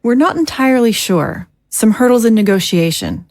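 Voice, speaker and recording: A woman playing a composed, formal government leader in an audio drama, speaking in a measured, diplomatic way. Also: on the high side, big pitch swings, a little mellow, no room sound, studio quality. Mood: measured, grave.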